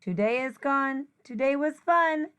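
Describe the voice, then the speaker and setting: A woman teacher reading a rhyming children's picture book aloud in an expressive, sing-song way. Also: sits high, a little slow.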